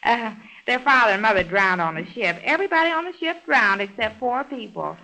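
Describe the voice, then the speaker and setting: A scatterbrained, lively, bright-voiced young woman. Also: a little high, fairly quick, low-quality recording. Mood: rambling, cheerful. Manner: rambling, breezily matter-of-fact, muddled. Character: scatterbrained, chatty, cheerfully oblivious small-town young woman. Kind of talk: 1930s radio comedy acting. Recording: vintage 1930s radio broadcast recording.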